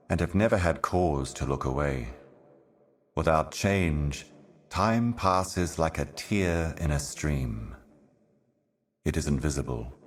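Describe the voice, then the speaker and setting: An actor playing an ominous, ancient otherworldly herald in a video game, speaking in solemn, cryptic, deliberate monologue. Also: male voice, rumbling low. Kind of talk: scripted video game voice acting, slow monologue.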